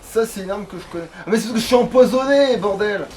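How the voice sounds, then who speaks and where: A man's voice: high voice, fast, a little mellow. A man doing casual, informal gameplay commentary as a let's player, with swearing. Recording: distant mic, clear background noise.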